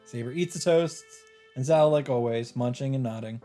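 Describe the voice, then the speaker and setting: A man's voice: medium pitch, normal speed, warm tone. A male let's play host with a mid-range voice reads visual novel narration aloud. His delivery is casual, with offhand asides, and game music plays behind him.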